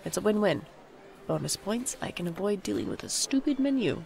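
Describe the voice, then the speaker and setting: An actor playing a wry, self-deprecating young adult in an audio drama, speaking and narrating in a dry, deadpan way. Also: medium pitch, a little fast, creaky. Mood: sardonic.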